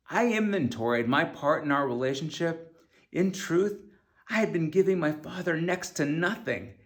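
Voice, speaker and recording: A man's voice: on the high side, creaky. A middle-aged man reading his own memoir as an author, in an earnest, reflective way. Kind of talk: scripted first-person memoir narration.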